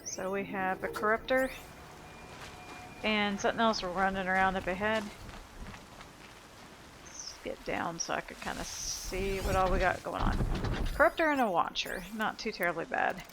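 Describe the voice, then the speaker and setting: A woman's voice: mid-range voice, moderate pace, pauses often, background noise. A woman giving casual commentary while she plays a video game. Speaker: gameplay streamer. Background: loud game music.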